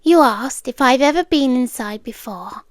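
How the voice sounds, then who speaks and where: A British woman playing a polite, obsessive yandere intruder in an ASMR roleplay, soft-spoken and often slipping into whispers, coolly teasing. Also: sits high, lively intonation, normal speed, no room sound, clean studio sound. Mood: matter-of-fact, sly. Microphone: close microphone, dry.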